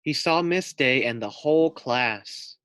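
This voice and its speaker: A young man, a teacher, reading a picture book aloud to first graders, gentle and unhurried with friendly asides. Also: medium pitch, expressive, clean tone, no echo.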